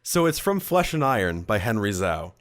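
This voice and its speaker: A man's voice: fairly low pitch, big pitch swings, normal speed. A man co-hosting a podcast, chatting casually and enthusiastically in a geeky, conversational way. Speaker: podcast co-host. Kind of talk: casual hobby podcast chat.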